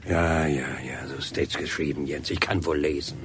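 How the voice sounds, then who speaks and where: An actor playing a defiant, scornful, earthy painter in a radio drama, halting and muttering with sudden exclamations. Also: male voice, rumbling low, steady tone, slight background noise. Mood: resigned.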